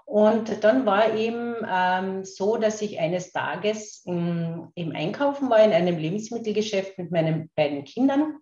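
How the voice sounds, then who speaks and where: A middle-aged woman from Carinthia, Austria, with a Carinthian accent, speaking slowly and haltingly as an interview guest over a video call. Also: medium pitch, expressive, a little mellow. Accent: Carinthian Austrian German. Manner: slow, halting, searching for words. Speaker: guest, kinesiologist. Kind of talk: casual interview talk. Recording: roomy, dull remote call audio.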